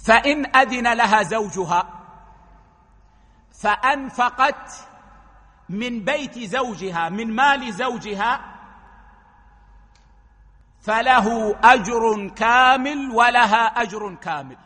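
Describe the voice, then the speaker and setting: A man gives a religious lesson in a high, bright voice, laying out each ruling step by step. The sound carries a long reverb from a hall. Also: slow pace, pauses often. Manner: explains rulings step by step, quoting hadith. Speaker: preacher.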